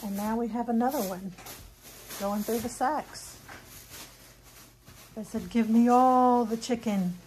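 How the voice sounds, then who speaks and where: A woman's voice: on the high side, slow pace, pauses often, a little mellow, slight background noise, rough recording. A woman in her fifties casually chatting through a grocery haul for her home vlog, rambling a little with ums and self-corrections. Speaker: vlogger. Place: home kitchen, indoors.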